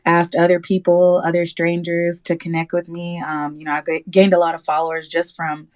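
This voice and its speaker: A woman with a fairly low voice speaks as a musical artist being interviewed. Her delivery is informal and easygoing, like a relaxed phone chat. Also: normal speed. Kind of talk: casual phone interview answers.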